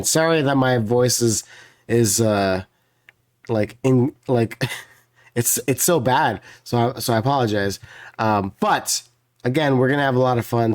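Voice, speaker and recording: A man with a hoarse voice hosts a puzzle video, casually explaining the rules in a rambling, apologetic way. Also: mid-range voice. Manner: rambling, many fillers and restarts, apologetic.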